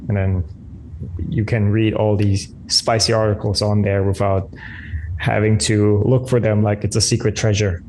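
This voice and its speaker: A man's voice: lowish voice, flat delivery, normal speed, darkish tone, slight background noise, low-quality recording. A man from Germany, casually introducing himself on an online call. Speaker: guest.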